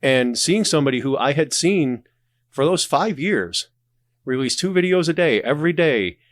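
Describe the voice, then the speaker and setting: A man's voice: a little high, lively intonation, normal speed, no echo. Man telling stories, very dry close sound. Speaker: podcast guest, audio drama creator. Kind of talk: casual podcast conversation, anecdotal storytelling.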